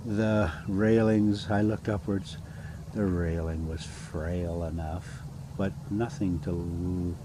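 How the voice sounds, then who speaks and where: A man reading a classic adventure novel aloud as an amateur storyteller, in a halting, phrase-by-phrase way. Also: low-pitched. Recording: steady background noise.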